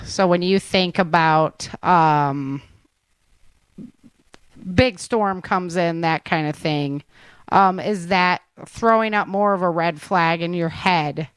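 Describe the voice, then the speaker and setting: A woman's voice: fairly low pitch, fairly bright tone, clean tone, no echo. A woman hosting a podcast in a casual, rambling, conversational interview style. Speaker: podcast host.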